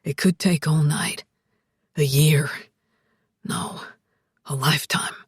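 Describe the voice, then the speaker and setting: An earnest, tender young man speaking low and quietly, as a woman narrator puts him on. His voice is dropped well down and husky. The sound is close and dry. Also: steady tone, slow, dry recording, heavily acted.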